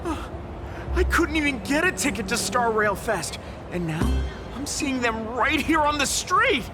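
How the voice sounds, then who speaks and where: A woman voicing a wide-eyed, excitable young bystander in an anime-style game, eager and incredulous. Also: high voice, a little slow, over music. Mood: eager, curious.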